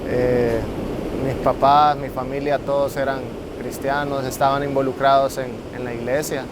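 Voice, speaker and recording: A man with a Salvadoran accent answering interview questions casually, pausing between short phrases. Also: flat delivery. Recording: clear rumbling hum behind the voice.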